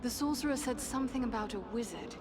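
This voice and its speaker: A woman's voice: on the high side, quick pace, background noise, close to an everyday voice. A woman playing a composed, learned elf adventurer in a fantasy video game, speaking formally and precisely. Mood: concerned. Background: loud background music.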